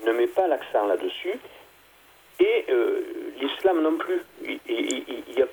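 A man, a theology guest, explaining at length in a halting conversational way, with many fillers and restarts. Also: mid-range voice, sing-song, a little mellow, slight background noise, low-quality recording.

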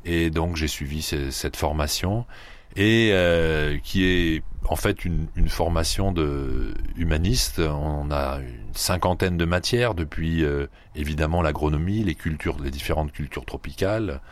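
A man from the Paris region, a club head being interviewed on radio, who pauses often with fillers and restarts. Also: a bass voice. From Paris region, France. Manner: hesitant, many fillers and restarts. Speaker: guest, sand yachting club head.

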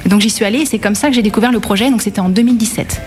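A woman's voice: medium pitch, very fast, darkish tone, soft background music. A woman in her thirties from France, answering interview questions in a hesitant way with many fillers and restarts. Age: 30s. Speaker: bakery manager.

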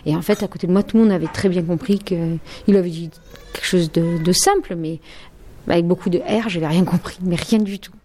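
A woman with a low, dark voice tells an earnest story in an interview at a steady pace. Faint clinking noise sits behind her. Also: brisk. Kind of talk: casual anecdote.

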